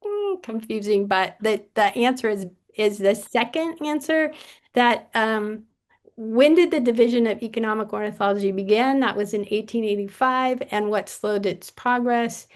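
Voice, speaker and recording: A woman's voice: fairly high pitch, normal speed. A woman presenter on a video call, casually going over poll answers with some hesitation. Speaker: webinar presenter. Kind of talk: reviewing poll results. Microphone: computer mic on a video call.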